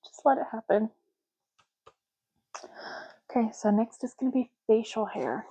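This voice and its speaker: A soft-spoken woman hosting an ASMR roleplay, talking in an unhurried, rambling way as if privately to the listener. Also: fairly high pitch, animated, breathy edge.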